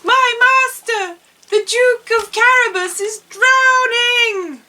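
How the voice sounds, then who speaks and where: A woman's voice: very high voice, slow, sharp tone, far from the mic, slight background noise, voice pushed up, full character voice. A woman voicing a confident, clever male talking cat from a storybook, with an emphatic, persuasive delivery. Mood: urgent. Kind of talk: storybook character voice.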